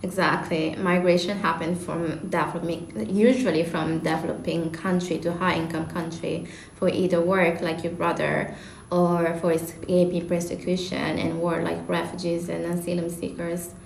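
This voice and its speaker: A woman's voice: on the low side, little pitch movement, moderate pace, vocal fry. A young woman from Italy with an Italian accent, hosting a student podcast discussion and stumbling over words as she presents. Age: young adult. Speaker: student host. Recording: echoey room with faint noise.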